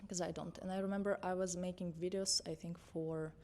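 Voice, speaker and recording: A young woman talks straight to her phone camera, unscripted and chatty. Her voice sits low for a woman, and she often stops to correct herself while keeping a reassuring tone. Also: flat delivery, a little fast, darkish tone. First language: Russian. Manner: conversational, self-correcting, reassuring. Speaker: confidence and mindset coach. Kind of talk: unscripted vlog talk to camera.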